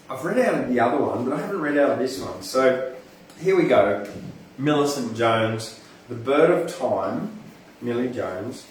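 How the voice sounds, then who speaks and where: In a very echoey space, a little far from the microphone, an Australian man hosts an informal poetry reading. He speaks at a slow pace, moving between recited verse and rambling, chatty asides. A faint noise sits behind him. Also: mid-range voice. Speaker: poetry podcast host and reader. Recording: echoey, distant mic, faint background noise.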